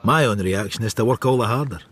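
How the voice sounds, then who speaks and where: An actor playing a world-weary, cynical detective who narrates his thoughts in a wry, brooding radio drama style, with a husky voice. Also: lowish voice, fast talker, fairly bright tone, a little hiss or hum, natural voice.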